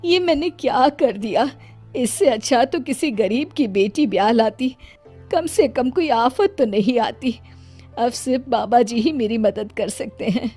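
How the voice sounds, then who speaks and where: A worried, devoted mother in a cartoon, voiced by a woman, anxious and pleading. Her voice is high, with faint music underneath. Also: expressive, unhurried, warm tone. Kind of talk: cartoon voice acting.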